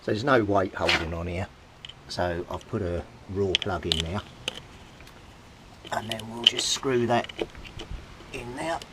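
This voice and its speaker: Male DIY vlogger, lowish voice, matter-of-fact and informal, heavy background noise with clicks and rustling. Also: unhurried, pauses often. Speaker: DIY video maker. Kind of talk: casual hands-on demonstration, talking to camera while working. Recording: very noisy handheld recording with clicks, rustling.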